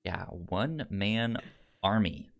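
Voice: sits low, lively intonation, drawn-out words, vocal fry. A podcast co-host in casual chat, rambling along and often repeating words.

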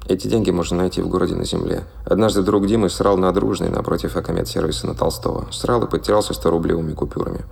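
A man's voice: low-pitched, fairly even intonation, fast talker, vocal fry, hardly any pauses, darkish tone. A man narrating an audio play in a plain, matter-of-fact manner.

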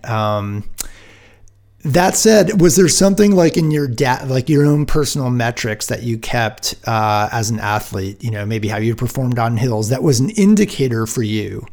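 A man hosts a podcast interview, working his way through long questions with lots of "um" and "you know." His voice sits at an ordinary middle pitch. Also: animated, normal speed, darkish tone. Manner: thinks aloud with many fillers. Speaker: podcast host.

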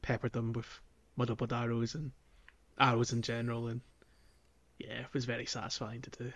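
A man hosting a podcast, casually guiding listeners through a video game in a halting, think-aloud way. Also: medium pitch, monotone, fairly bright tone. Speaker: podcast host.

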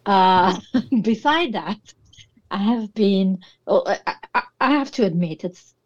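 A woman's voice: medium pitch, lively intonation, takes it slowly, highs cut off. A woman from Italy with an Italian accent, a panel guest speaking casually and laughing often. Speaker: guest, engineering director.

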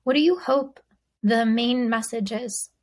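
A woman's voice: fairly high pitch, measured pace. A woman hosting an interview, asking encouraging, thoughtful questions. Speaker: interview host. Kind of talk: casual interview.

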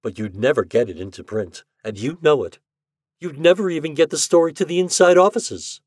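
A man voicing a bitter, sharp-tongued young woman who talks in a clipped, hard, mocking way. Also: a little high, brisk, no room sound, studio quality, voice pushed up, lightly acted. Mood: scornful.

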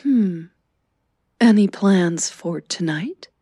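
A woman's voice: lowish voice, expressive, very slow, dark tone, no echo, clean studio sound, full character voice. A woman voicing an enthusiastic, affectionate middle-aged mother who encourages and teases, in an audio drama. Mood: curious, teasing. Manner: eager, affectionate.

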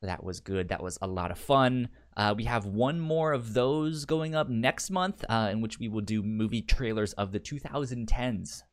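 A man co-hosts a laid-back podcast, talking things over in relaxed chat. He stumbles often, repeating and restarting words as he works out what to say. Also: mid-range voice. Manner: halting, many stammered restarts. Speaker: podcast co-host.

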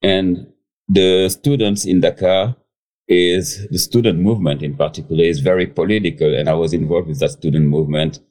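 Very deep, mellow man. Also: fairly even intonation, normal speed. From Senegal. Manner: hesitant, many fillers and restarts. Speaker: guest chef. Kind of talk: casual interview storytelling.

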